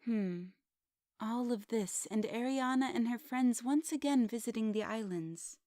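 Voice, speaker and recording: A calm, guarded mother of an elf family, acted by a woman. Her soft-edged, mellow voice is slightly high, thoughtful and reassuring, in a dry fantasy audio drama recording. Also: a little slow, no room sound, close to an everyday voice. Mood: pensive.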